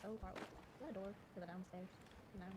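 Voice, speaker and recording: Chatty woman gamer, loud noise behind. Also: medium pitch, flat delivery, fast. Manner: chatty, giggly, reacts aloud. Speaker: gaming streamer. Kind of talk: casual let's play commentary. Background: video game sound.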